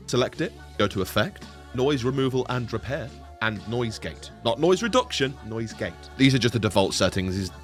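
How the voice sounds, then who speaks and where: A man's voice: mid-range voice, a bit bright. A man in his twenties hosting a casual, playful, sweary tutorial, speaking close to a desk microphone in a bedroom. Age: 20s. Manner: playful, sweary, mock-teasing. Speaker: tutorial host. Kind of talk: casual jokey software tutorial. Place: small bedroom, indoors. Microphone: desk boom-arm microphone, close.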